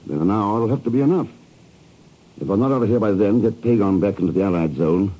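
An actor playing a cool, resolute secret agent with a dry, understated manner in a 1950s radio drama. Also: male voice, lowish voice, fast talker, warm tone, slightly rough. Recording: dull, noisy old radio broadcast.

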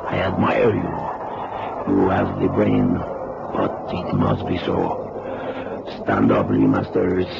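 An actor playing a sadistic, smug criminal in a radio drama, with a deliberate, mocking delivery. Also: male voice, low-pitched, very animated, vocal fry, highs cut off, full character voice. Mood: smug menace. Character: menacing, sadistic Mexican male criminal, smugly cruel. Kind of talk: 1970s radio drama acting. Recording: noisy, band-limited old radio recording.

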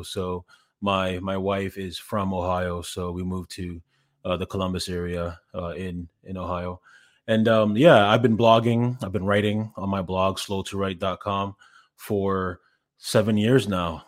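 A man in his thirties, from Ghana, talking as a podcast guest in a relaxed, halting way with many fillers and restarts. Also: sits low. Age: 30s. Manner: hesitant, many fillers and restarts, laughs easily. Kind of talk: casual interview chat.

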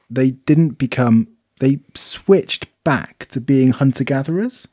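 A man of ordinary pitch talks in a loose, animated podcast chat, laughing and swearing as he goes. His voice sits close and dry on the microphone, with no room around it. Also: dry recording. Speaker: podcast co-host. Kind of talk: casual chat, reading out reviews.

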